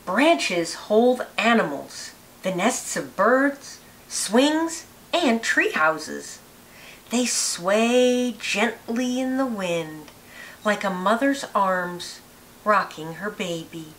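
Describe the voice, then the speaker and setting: A woman reading a children's picture book aloud with deliberate, expressive phrasing. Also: medium pitch, slight background noise, rough recording. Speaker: read-aloud narrator, teacher.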